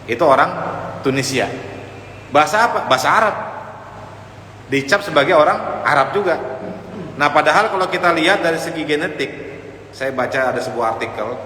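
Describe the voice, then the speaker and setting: A man's voice: fairly high pitch, expressive, fairly quick, long pauses, bright, forceful tone. A man preaching an informal Islamic lecture in a conversational way, addressing his listeners directly and mixing in Arabic phrases. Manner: sprinkles in Arabic phrases and quotations. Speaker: Islamic preacher teaching a congregation. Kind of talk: informal religious lecture on the Prophet's biography. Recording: echoey hall with faint background noise.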